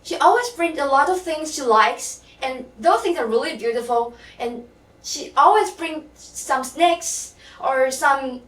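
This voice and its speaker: A teenage girl gives a confessional contest speech, pausing with ums and uhs as she tells it. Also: high voice, fairly bright tone. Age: teens. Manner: confessional, with fillers like um and uh. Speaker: student contestant. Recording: echoey hall, mic at a distance.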